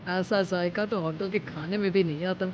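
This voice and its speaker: A woman's voice: on the low side, fairly even intonation, moderate pace, a little mellow, faint music behind, natural voice. A woman voicing a jovial, food-loving older father-in-law in an exclamatory cartoon story style. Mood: delighted. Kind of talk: cartoon moral-story acting. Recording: dull lo-fi sound.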